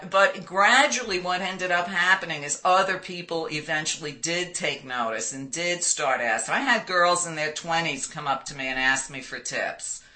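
A woman in her sixties with a loud voice, telling stories animatedly in an interview and laughing often. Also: low voice. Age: 60s. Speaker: guest. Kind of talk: conversational interview.

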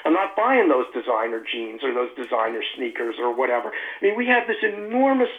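A man as a guest author on a radio show, speaking earnestly over the phone with halting restarts. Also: mid-range voice, big pitch swings. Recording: tinny phone line.

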